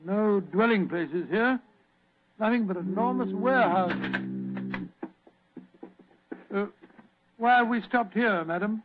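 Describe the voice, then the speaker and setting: An elderly British doctor in an old radio play, pitched high and hesitant. He is genial, old-fashioned and easily flustered. The recording is muffled, with heavy noise. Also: unhurried. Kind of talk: vintage radio drama acting and narration.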